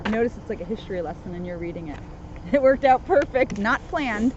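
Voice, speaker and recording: A woman's voice: mid-range voice, animated, fairly quick. A woman making casual, light-hearted side comments outdoors as a co-host. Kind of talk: casual off-the-cuff chat. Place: outdoors in cold weather. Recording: muffled, noisy outdoor recording with vehicles.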